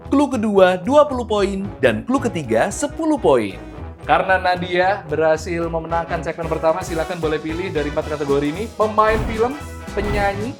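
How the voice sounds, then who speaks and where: A man hosting a quiz show, reading out clues and scores with emphatic, stretched key words. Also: high voice. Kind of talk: lively game show presenting. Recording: music playing behind the voice.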